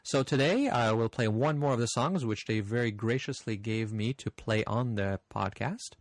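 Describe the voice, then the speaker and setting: A man's voice: mid-range voice, no echo. Brief: man from Canada, slight French accent, hosting a podcast in a dry, close recording. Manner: easygoing, friendly, with frequent "uh" pauses. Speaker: podcast host. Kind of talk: casual podcast chat reading listener mail.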